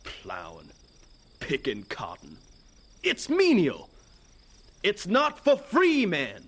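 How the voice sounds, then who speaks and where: An actor in an audio drama playing a proud, defiant young student, declaiming with scorn for farm labour. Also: male voice, sits high, huge pitch swings, takes it slowly, fairly bright tone, husky, full character voice. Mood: defiant, scornful.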